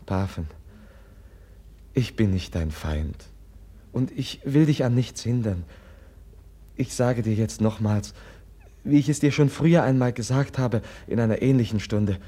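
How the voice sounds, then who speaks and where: An actor playing a gentle, compassionate young Russian nobleman in a German radio drama, earnest, halting and soft-spoken, with a husky voice. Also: medium pitch, close to an everyday voice. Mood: earnest, measured.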